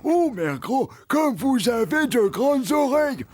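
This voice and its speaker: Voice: high-pitched, big pitch swings, slow, darkish tone, exaggerated voice. A performer voicing an elderly, theatrical farm storyteller who draws out a fairy tale in a children's cartoon. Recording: music behind the voice. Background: cartoon music.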